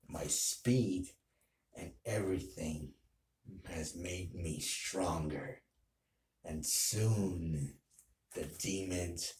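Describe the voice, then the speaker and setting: A man playing a vengeful anime villain bent on ruling the world, delivering a menacing, boastful, halting monologue. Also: low voice, darkish tone, far from the mic, a little hiss or hum, exaggerated voice. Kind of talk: solo anime villain role play. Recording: echoey room.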